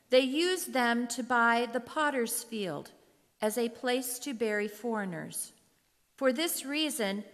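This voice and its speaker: A woman narrating scripture from a script for reader's theater, in a measured, solemn manner. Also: fairly high pitch, fairly bright tone. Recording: long hall reverb.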